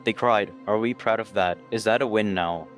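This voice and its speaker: A man playing an uneasy, conscience-stricken lab technician in an audio story, earnest and measured. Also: fairly low pitch, a bit bright, lightly acted. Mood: troubled. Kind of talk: scripted audio story dialogue. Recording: music playing behind the voice.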